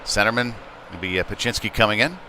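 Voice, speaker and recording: A man giving live play-by-play commentary on ice hockey in a halting way, with frequent pauses. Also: on the low side, pushed and bright. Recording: noisy live rink broadcast.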